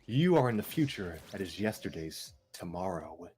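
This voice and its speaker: Man voicing a lofty, low-pitched toad from the future. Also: sing-song, normal speed, a little mellow, breathy edge, faint music behind, exaggerated voice. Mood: condescending. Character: calm, pedantic, condescending futuristic toad creature.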